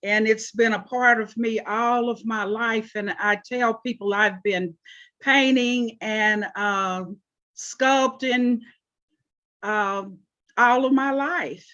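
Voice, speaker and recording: A woman in her seventies, originally from Oklahoma, answering interview questions about her life in an unhurried way with frequent pauses. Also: a little high, steady tone, a bit bright, highs cut off. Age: 70s. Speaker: interview guest, artist.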